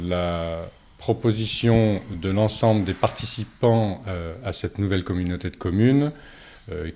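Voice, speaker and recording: A man gives a formal, measured political statement as a local opposition politician, halting often on long hesitations. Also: low-pitched, dark tone. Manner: hesitant, halting delivery with frequent long filler pauses. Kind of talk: political statement to camera, semi-prepared.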